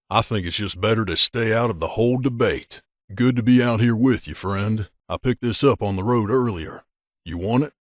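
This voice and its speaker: A low, closely recorded male voice , acted by a man. He is an earnest wasteland drifter from a video game, guarded but loyal. His delivery is conversational and sincere, with very little room sound. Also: no echo, studio quality. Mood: wary, casual. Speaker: voice actor. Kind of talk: video game companion dialogue.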